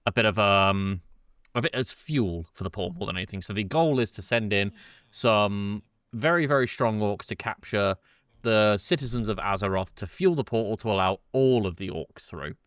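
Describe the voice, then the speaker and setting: A man with a slightly low, smooth voice hosts a casual discussion. He explains in a loose, halting way, correcting himself as he goes, and the sound is close and dry. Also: fairly bright tone, no room sound. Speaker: podcast host. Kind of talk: casual chat with loose, informal summarising.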